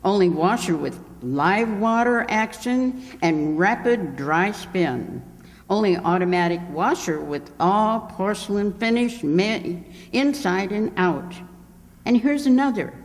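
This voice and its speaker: A man's voice: high-pitched, lively intonation, takes it slowly, full character voice. A man playing an enthusiastic, wordy appliance salesman, emphatic as an advertisement, in an old-time radio comedy. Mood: enthusiastic pitching. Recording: echoey hall, long reverb.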